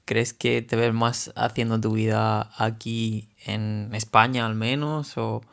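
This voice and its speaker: A man from Murcia with a softened Murcian Spanish accent, hosting a podcast and answering his guest with warmth and stories of his own. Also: lowish voice, flat delivery, slow pace, gravelly. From Murcia, Spain. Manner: supportive, empathetic, relates own experience. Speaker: podcast host. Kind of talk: casual conversation.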